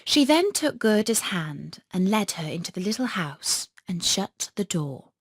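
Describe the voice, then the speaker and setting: A woman narrating a children's fairy tale as an audiobook, in an expressive storytelling manner. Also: medium pitch, measured pace, breathy edge, dry recording, studio quality.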